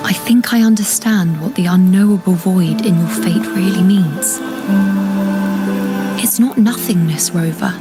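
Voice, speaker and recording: A woman's voice: on the low side, measured pace, lightly acted. A woman playing a gifted young priestess in a video game, self-assured, teasing and theatrical, proud but caring. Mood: earnest. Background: loud game music.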